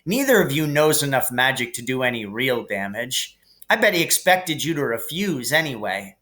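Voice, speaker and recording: A man's voice: medium pitch, big pitch swings, moderate pace, sharp tone. A man voicing a brash, hot-headed schoolboy in a blunt, offhand way for a storybook.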